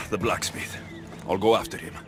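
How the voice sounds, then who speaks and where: A man's voice: low-pitched, steady tone, unhurried. An actor playing a blunt, determined warrior hero in a video game, earnest and direct in his delivery. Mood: grave, determined. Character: blunt, determined male warrior hero, wary but loyal. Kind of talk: video game cutscene acting. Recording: music behind the voice.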